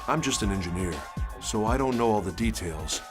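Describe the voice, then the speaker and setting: An actor playing a sober engineer recounting his journal in measured, grave narration for a video game. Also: lowish voice, expressive, over music, natural voice. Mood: confiding. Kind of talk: scripted dramatic narration.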